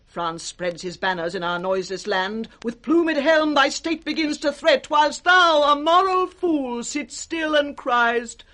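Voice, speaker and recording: A woman's voice: high-pitched, fairly bright tone. An actress playing a scornful, scheming, commanding noblewoman in a British accent, in a theatrical 1960s radio Shakespeare style. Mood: scornful, raging. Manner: theatrical, deliberate, swooping delivery.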